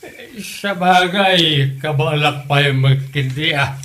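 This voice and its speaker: A man playing an elderly, easygoing Filipino father-in-law in a comedy audio drama, with an Ilocano accent and a halting delivery. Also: on the high side, fairly bright tone. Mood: hesitant, tipsy. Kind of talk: hobby comedy radio drama acting. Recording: noticeable background noise.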